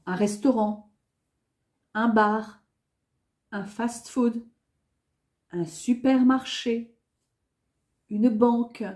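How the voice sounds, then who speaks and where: A woman teaching a beginner French lesson, saying each useful phrase twice with careful, clear enunciation. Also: a little high, a little mellow. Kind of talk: beginner language lesson, repeating phrases slowly.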